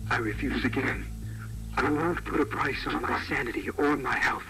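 An actor playing a weary, principled construction crew witness giving measured horror testimony on a degraded, very noisy tape. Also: male voice, medium pitch, creaky, over music, natural voice. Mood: weary, resolute.

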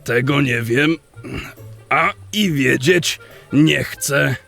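A man playing a wary, grumbling old dwarf thief in an audio drama, with a gravelly voice and rustic, archaic dialect. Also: medium pitch, drawn-out words, fairly bright tone, faint music behind, full character voice. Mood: worried. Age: older.

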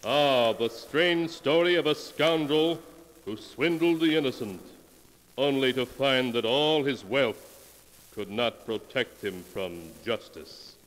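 A man's voice: medium pitch, fairly bright tone. A man playing a solemn, portentous storyteller in a 1940s radio mystery show. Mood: ominous. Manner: portentous, dramatic, deliberate. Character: ominous solemn storyteller reading from a sealed book. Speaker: announcer and narrator of a mystery anthology. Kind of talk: 1940s radio horror narration. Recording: reverberant, noisy 1940s radio recording.

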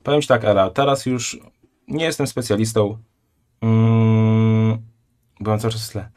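A man in his thirties, a live streamer reacting to a video in a blunt, indignant way, often sinking to a quiet, disgusted tone. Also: lowish voice, fairly even intonation, measured pace, faint music behind.

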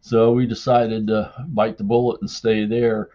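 A man telling a personal story casually in an unhurried, folksy way, as in a listener's voicemail. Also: lowish voice, little pitch movement, warm tone. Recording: dull, lo-fi voicemail-like sound.

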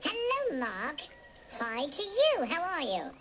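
High-pitched British cartoon chipmunk. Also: male voice, slow pace, full character voice. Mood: friendly, polite. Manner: chatty, excitable, self-deprecating boasting. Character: cute British chipmunk with attitude, playfully boastful. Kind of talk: comic radio phone-in character chat. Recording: muffled radio replayed through a device speaker, faint noise.

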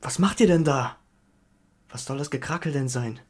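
A woman playing an impatient, bickering, bossy adventurer with a husky voice in an audio drama. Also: rumbling low, normal speed, a little mellow. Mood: excited, bossy. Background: background music.

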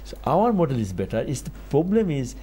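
An older man from Bangladesh, speaking as an author on a TV panel in a studio, measured and rising for emphasis. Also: mid-range voice, very animated, warm tone, slightly rough. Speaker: author guest. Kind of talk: TV panel discussion. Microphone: lapel.

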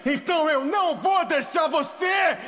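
A man's voice: very high voice, measured pace, fairly bright tone, raised voice. A man with a Brazilian accent voicing a crude, volatile little demon imp in a dubbed adult cartoon, brash and emotional. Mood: furious, hurt. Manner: brash, foul-mouthed, emotional.

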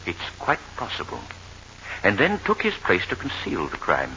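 An older male doctor, authoritative and coldly clinical, in a 1940s radio drama. His low voice swings expressively, over a dull, hissy broadcast. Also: huge pitch swings, normal speed, bright, forceful tone, heavily acted. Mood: measured, clinical.

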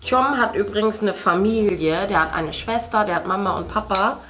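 A woman's voice: medium pitch, moderate pace, fairly bright tone, soft background music. A middle-aged woman, chatting casually as she paints indoors. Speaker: host, mother. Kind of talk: casual chat while painting. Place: indoor room at a craft table.

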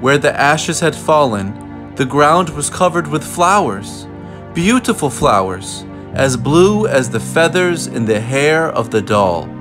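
A man's voice: a little high, sing-song, slow. Male storybook narrator, music behind. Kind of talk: scripted read-aloud narration of a children's picture book.

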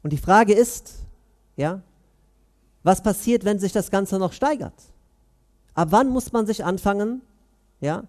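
Earnest high-voiced man preaching. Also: darkish tone. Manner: earnest, questioning, pauses between phrases. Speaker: imam giving Friday sermon. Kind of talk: sermon. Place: mosque prayer hall, indoors. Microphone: headset mic.

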